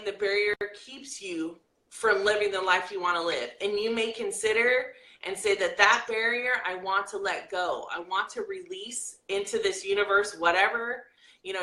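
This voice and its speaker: Bright-voiced woman coaching in an echoey room. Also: medium pitch. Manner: lively, reads viewer comments aloud, turns soft and slow for the breathing exercise. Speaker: life coach hosting a live video. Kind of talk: coaching talk and guided breathing.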